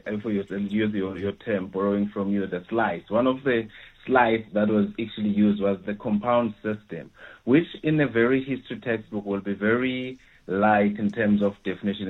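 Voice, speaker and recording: A man, an education researcher answering interview questions hesitantly, with many fillers and restarts. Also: fairly low pitch. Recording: tinny phone line.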